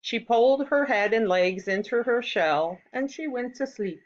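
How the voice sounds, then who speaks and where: A woman reading a folktale aloud to children in an expressive, deliberate storytelling style, on a slightly dull recording. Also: fairly high pitch. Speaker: storybook reader.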